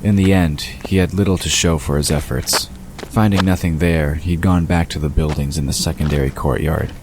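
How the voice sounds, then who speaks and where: A man's voice: very deep voice, steady tone, normal speed. A man reading scripted story narration as the narrator of an audio drama. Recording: constant ambience noise behind narration.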